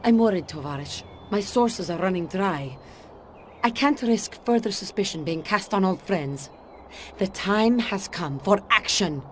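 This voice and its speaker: A woman's voice: medium pitch, animated, unhurried, slight background noise, close to an everyday voice. A woman playing a shrewd Soviet spy in a video game, speaking with a Russian accent in a guarded, wry way. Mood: grave, businesslike. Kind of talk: video game cutscene voice acting.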